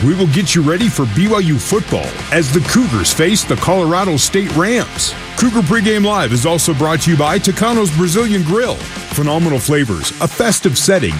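A male sports announcer delivers a scripted promotional introduction. His pitch is high and swings in a lively way. Music runs clearly underneath. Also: normal speed.

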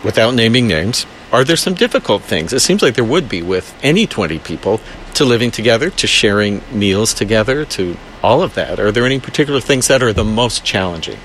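A man hosting a podcast interview, asking calm and curious questions. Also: on the low side, brisk, a little hiss or hum, poor audio. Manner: calm, curious, gently probing.

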